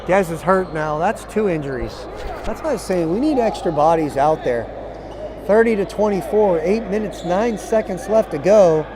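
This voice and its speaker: A hoarse man with a raspy voice doing live, partisan color commentary for a basketball game. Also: high-pitched, lively intonation, moderate pace, noisy background. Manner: partisan, cheers on one team with "we". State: hoarse, tired voice.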